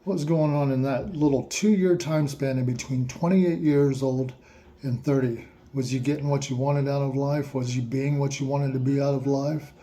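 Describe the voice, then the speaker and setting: A man slowly asking listeners a series of reflective questions, with playful asides in a surprised voice. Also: medium pitch, fairly even intonation, mellow. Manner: slow, repetitive questions with mock-surprised asides.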